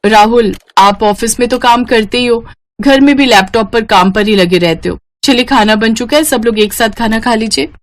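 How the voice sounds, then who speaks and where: A woman's voice: fairly high pitch, normal speed, lightly acted. Woman playing an obliging young daughter-in-law.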